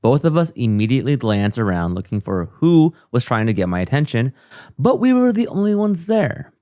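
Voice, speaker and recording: A man's voice: medium pitch, very animated, normal speed, warm tone, dry recording. A man co-hosting a comedy podcast, reading a listener's email aloud in a dry, matter-of-fact way with joking asides. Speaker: co-host.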